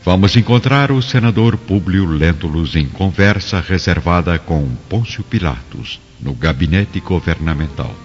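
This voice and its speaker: A man's voice: deep voice, animated, measured pace, hardly any pauses, faint music behind. A man narrating a radio drama as a solemn storyteller, florid and theatrical in delivery. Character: solemn storyteller of an ancient Roman saga. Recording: dull recording with long reverb.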